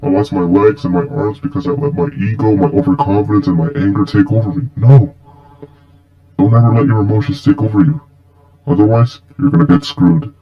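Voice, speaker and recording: A grave cyborg Sith lord acted by a man mentors in a fan-film monologue over music. The voice is gravelly and solemn, with a dark menace in the words and a slightly dull, lo-fi sound. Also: fairly low pitch. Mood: stern warning. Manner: slow, solemn, instructive.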